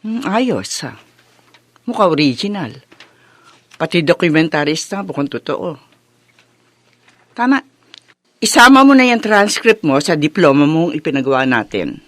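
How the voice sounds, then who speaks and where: A woman's voice: low voice, sing-song, unhurried, long pauses, no echo, exaggerated voice. A Filipino woman acting a stern, status-conscious, middle-aged mother who scolds and commands her son in a scripted drama. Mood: pleased, businesslike.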